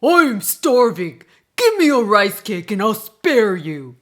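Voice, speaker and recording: A woman voicing a fierce, hungry and cunning male tiger in a folktale, loud and demanding. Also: mid-range voice, sing-song. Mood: hungry, menacing. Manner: loud, demanding, drawn-out exclamations.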